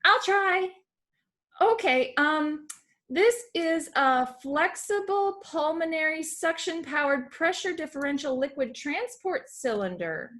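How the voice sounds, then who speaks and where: A very high-voiced little monster pupil, played by a woman. The small storybook creature is earnest and speaks in overly technical terms. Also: animated, takes it slowly, a bit bright, exaggerated voice.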